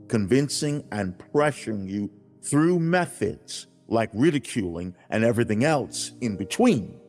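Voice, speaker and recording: A man playing God in a dramatized audiobook, speaking directly to humankind in a grave, fatherly, deliberate way with emphatic enunciation. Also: fairly low pitch, a little mellow. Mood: stern.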